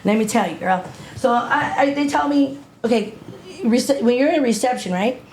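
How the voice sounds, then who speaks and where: A woman's voice: fairly high pitch, normal speed, a little hiss or hum. Streetwise woman telling a gritty story. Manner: streetwise, animated storytelling with frequent repeats and swearing. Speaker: interview guest. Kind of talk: casual storytelling interview.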